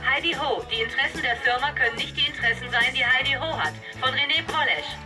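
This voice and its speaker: A woman's voice: sits high, animated, quick pace. A woman reading scripted radio play credits as an announcer. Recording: music behind the voice.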